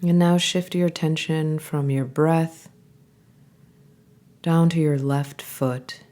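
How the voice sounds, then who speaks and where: Woman, very low pitch, soft dark tone, calm guided meditation, gentle unhurried instructions, pauses. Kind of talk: guided body scan meditation.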